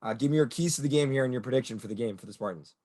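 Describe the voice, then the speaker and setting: A young male host leads a college football preview with upbeat, announcer-style energy. His voice sits at an ordinary pitch but carries a slight rasp from a cold. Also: racing, darkish tone. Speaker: show host. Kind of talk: sports show hosting.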